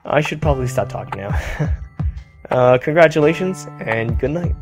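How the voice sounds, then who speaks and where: A man speaks in a flat, shy, low-key way, reading his thanks to the players. Music plays clearly behind him. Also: normal speed. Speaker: voice actor giving a closing message. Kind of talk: read-out thank-you message.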